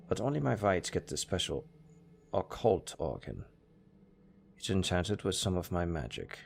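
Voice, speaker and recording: A man's voice: low voice, creaky, slight background noise, full character voice. A man playing a pragmatic, chatty necromancer who talks casually and matter-of-factly about grisly work in an audio roleplay. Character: chatty, pragmatic male necromancer, former tailor. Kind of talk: intimate audio roleplay acting.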